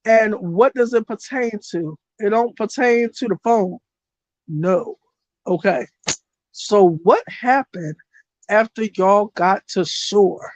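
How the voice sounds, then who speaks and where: A woman running a casual livestream as a spiritual reader, blunt and profane, with a voice that is going hoarse. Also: medium pitch, takes it slowly, no echo. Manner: blunt, profane and animated, talks to her chat. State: losing her voice.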